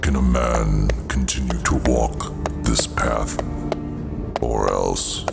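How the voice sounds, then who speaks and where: A man voicing a grim, ancient skull-faced knight in a motion comic, speaking in a slow, brooding whisper with a gravelly voice. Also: rumbling low, fairly even intonation, heavily acted. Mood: ominous. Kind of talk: fan motion comic dub. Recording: heavy music underneath.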